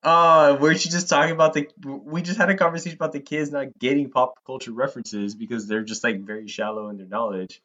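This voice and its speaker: A man co-hosting a podcast in a casual chat about movies, joking and swearing freely in an animated way. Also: medium pitch, fast, hardly any pauses. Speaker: podcast co-host. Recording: dull, lo-fi band-limited audio.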